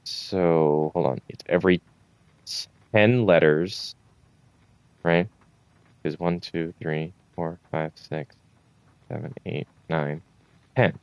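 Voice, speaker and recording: A man's voice: low voice, fairly even intonation, slow pace, long pauses, smooth, no echo. A man giving casual gameplay commentary, thinking aloud and muttering to himself with the odd swear word. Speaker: gamer recording a playthrough.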